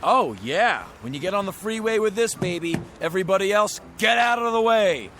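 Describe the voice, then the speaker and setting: A man's voice: sits high, expressive, normal speed, fairly bright tone, a little hiss or hum. An actor playing a glib, pushy used-car salesman in a radio drama, with florid, bombastic patter. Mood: smooth, cajoling.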